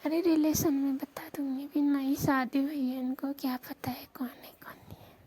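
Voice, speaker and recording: Woman acting hushed, high-voiced shy young village lover. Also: level tone, normal speed, natural voice. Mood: hushed, reassuring. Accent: Rajasthani. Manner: hushed, speaking low so neighbours will not hear. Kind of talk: intimate romantic phone conversation, acted as a prank call recording. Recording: noisy phone call recording.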